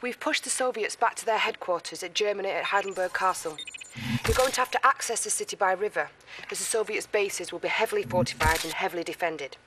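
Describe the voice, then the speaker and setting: A woman's voice: medium pitch, fast, background noise, lightly acted. An actress in her twenties playing an earnest, formal military intelligence lieutenant briefing a player in a game. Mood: earnest, urgent. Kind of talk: acted game mission briefing.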